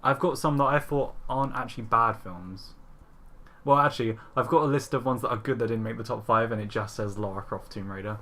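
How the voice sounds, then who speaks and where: A man's voice: medium pitch, fairly quick, bright, forceful tone. A man hosting a podcast, chatting casually about films and games in an informal, sweary way. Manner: informal, frequently chuckling, sweary. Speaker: podcast host. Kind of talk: casual film and game chat.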